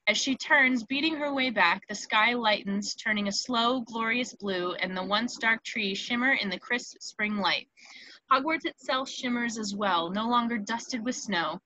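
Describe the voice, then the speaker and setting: A woman's voice: on the high side, a little slow, pushed and bright, no room sound, dull audio. A woman narrating a screenplay, reading out scene headings and stage directions.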